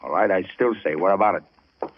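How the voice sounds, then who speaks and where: An actor playing a gruff, skeptical, impatient police detective in an old radio drama, brusque and slangy. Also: male voice, sits low, fairly quick, close to an everyday voice. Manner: brusque, folksy slangy speech. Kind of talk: 1940s radio drama acting. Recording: dull, noisy old radio recording.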